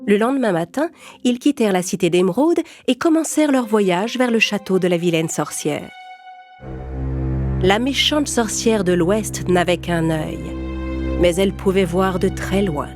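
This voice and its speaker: A woman's voice: mid-range voice, moderate pace, a little mellow, faint music behind. A woman reading scripted narration for a children's fairy tale as the storyteller.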